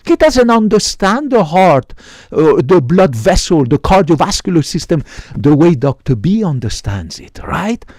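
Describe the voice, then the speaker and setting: A man's voice: a little high, very animated, measured pace, a little mellow, dry recording, studio quality. A middle-aged man, a physician, lecturing viewers to camera in an emphatic way, often tagging lines with "right?". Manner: emphatic, keeps asking "right?"; repeats words and restarts. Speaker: physician giving health advice.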